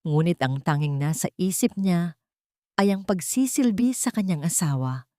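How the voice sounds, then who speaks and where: A woman's voice: on the low side, expressive, moderate pace, mellow, no room sound, clean studio sound. A woman storyteller reading scripted, dramatic narration of a romance drama.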